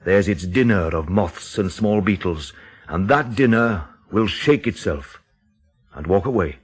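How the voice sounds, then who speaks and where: An actor in a radio drama playing a grave, intense man who speaks in an emphatic, deliberate, theatrical way. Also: low voice, lively intonation, husky, full character voice. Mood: insistent. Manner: emphatic, dramatic, deliberate phrasing with rhetorical questions. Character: grave, intense countryside man expounding a dreadful theory.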